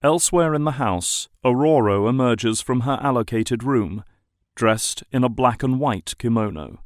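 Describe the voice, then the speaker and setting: A man's voice: mid-range voice, unhurried, no room sound, clean studio sound. A man reading scripted narration for an audio drama.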